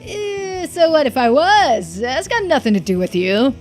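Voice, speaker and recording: A woman voicing a brash, suspicious, defensive islander in a video game, blunt and challenging with rough colloquial speech. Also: high voice, sing-song, a little slow, faint music behind, voice pushed up.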